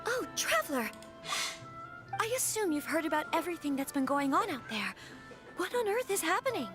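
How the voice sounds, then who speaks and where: A young woman voicing a gentle but firm noblewoman in a game, soft-spoken and formal. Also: very high voice, sing-song, normal speed, over music. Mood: troubled. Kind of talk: game voice acting.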